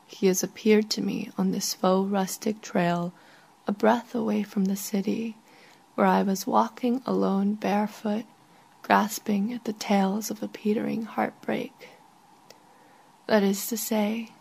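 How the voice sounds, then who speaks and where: Breathy woman slowly reading a short story. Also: mid-range voice, little pitch movement, darkish tone, rough recording. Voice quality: breathy, often falling to near whisper. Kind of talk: literary first-person story narration.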